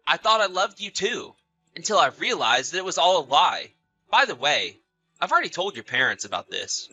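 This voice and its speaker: A man's voice: fairly high pitch, very animated, moderate pace, bright, forceful tone, faint music behind, exaggerated voice, low-quality recording. A man playing a betrayed husband in an acted audio drama, bitter, sarcastic and accusatory. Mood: vengeful, bitter. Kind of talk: acted audio story dialogue and narration.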